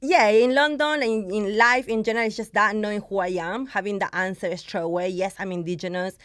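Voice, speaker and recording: A woman speaks as a guest on a podcast, her voice sitting in a middle pitch. She talks with passion and switches into now and then. Her sound is dry and close. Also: steady tone, a little fast, a bit bright, no echo. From Argentina. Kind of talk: podcast conversation.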